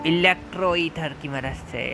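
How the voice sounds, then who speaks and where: A man's voice: a little high, rapid-fire. A man with an Indian accent chatting casually as a game live streamer, informal and repeating phrases, with game music behind him. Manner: informal, repeats phrases, switches into English words. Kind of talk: casual chat and game commentary. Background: video game music.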